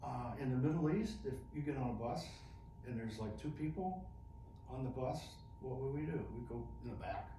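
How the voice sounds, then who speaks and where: A man's voice: medium pitch, expressive, moderate pace, mellow. Chatty man telling stories, echoey room. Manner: chatty, laughs often, restarts mid-phrase. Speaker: interviewee, military veteran. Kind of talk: casual storytelling interview. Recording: echoey room, distant mic, noisy.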